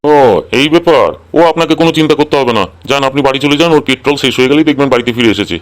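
A man voices an exasperated comedy cartoon doctor who cares about his fees. He talks very quickly in a middle register, blunt and colloquial, always ready to scold. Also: medium pitch, clean tone, natural voice. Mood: reassuring. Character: exasperated, money-minded male cartoon doctor. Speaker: cartoon voice actor. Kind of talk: comic Bengali cartoon dialogue.

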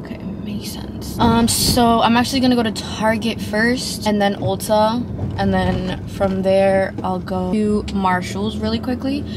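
A young woman vlogging to a dashboard camera from inside her car, chatting casually and rambling with lots of filler words. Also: mid-range voice. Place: inside a parked and moving car. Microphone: dashboard-mounted camera. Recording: loud car and road noise. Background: car engine and traffic noise.

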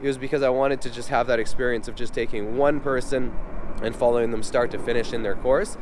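A man in his thirties chatting casually to camera as a vlog host, congested with a head cold, speaking informally and a bit haltingly outdoors into a camera mic at arm's length. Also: mid-range voice, fairly even intonation, background noise. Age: 30s. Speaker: vlog host, helicopter company owner. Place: outdoors on an open helipad. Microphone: external camera mic at arm's length.

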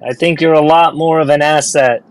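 A man's voice: fairly high pitch, steady tone, a little fast, low-quality recording. A man hosting an online audio chat, laid-back and slangy, asking questions casually. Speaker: host of audio space.

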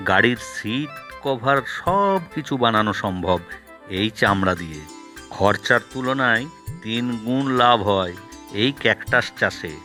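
A man's voice: lowish voice, pushed and bright. A man voicing an earnest, helpful villager in a cartoon, speaking expressively. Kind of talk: Bengali cartoon voice acting.

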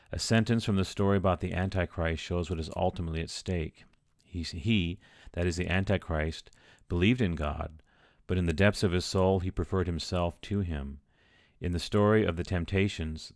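A low-pitched priest delivers a teaching, reading passages aloud before putting them in his own words. His deep voice sits close to the mic in a dry, dead room. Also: no room sound. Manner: reads passages then explains in plain words, with fillers.

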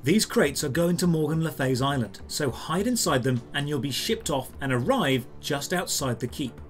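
Higher-pitched man narrating a game guide. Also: expressive, moderate pace. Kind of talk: scripted step-by-step tutorial narration. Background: background music.

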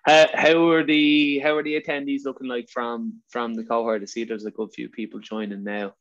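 A man with a strong Irish accent, chatting casually as a webinar presenter, talking fast with lots of fillers. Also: medium pitch, little pitch movement, a bit bright, highs cut off. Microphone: video call.

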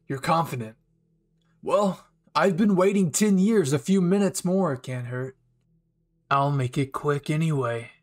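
A man playing a bitter, unhinged young villain in an anime roleplay, taunting and menacing, with dramatic pauses. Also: on the high side, expressive. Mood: smug. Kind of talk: anime fan ASMR roleplay acting.

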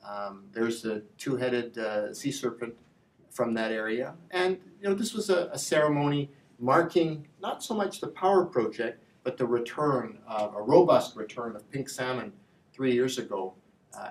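A middle-aged man gives a public presentation at a panel, speaking in a measured, halting way with emphatic repeats. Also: medium pitch, animated. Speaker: industry association representative, panel speaker. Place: indoor lecture room with slide screen. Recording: echoey room, distant mic, faint noise.

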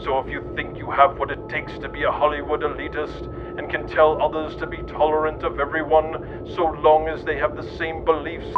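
A man reading scripted satirical narration in a mock-serious, declamatory manner. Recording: dull lo-fi audio, loud rumbling noise.

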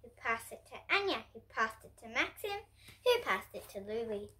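Young girl, storybook read-aloud, bright tone, animated, expressive delivery. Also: on the high side, moderate pace. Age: child, about ten to twelve. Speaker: host reading picture books for kids. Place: indoor room in front of bookshelves.

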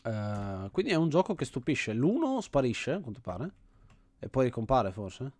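A man's voice: mid-range voice, big pitch swings, normal speed, darkish tone, dry recording. An Italian man hosting a game series with casual gameplay commentary, thinking aloud and often repeating himself. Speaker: host of an indie game video series.

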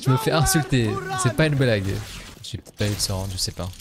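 A man's voice: mid-range voice, lively intonation, fast talker, very noisy. A man live-streaming a game in casual, slangy French sprinkled with English gaming terms, chatting as he plays.

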